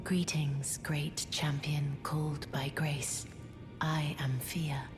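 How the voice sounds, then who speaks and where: An actress playing a gentle, sorrowful deathbed companion in a video game, in a soft, breathy near-whisper with courtly manners. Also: female voice, low voice, little pitch movement, over music. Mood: tender, hushed. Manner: slow, hushed, pleading courtesy.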